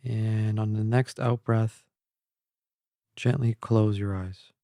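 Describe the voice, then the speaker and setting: Calm guy doing guided meditation, really slow w long pauses, gentle, dry close sound. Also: fairly low pitch, no room sound. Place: close, dry voice-only recording.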